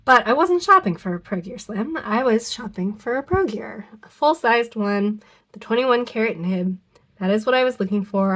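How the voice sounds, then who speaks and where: A woman's voice: on the high side, a bit bright. A young woman with a stuffy, nasal voice from a cold, chatting casually to camera in her home studio, rambling and correcting herself as she goes. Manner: chatty, rambling, self-correcting with many ums and likes. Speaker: fountain pen vlogger. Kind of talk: casual unboxing chat to camera.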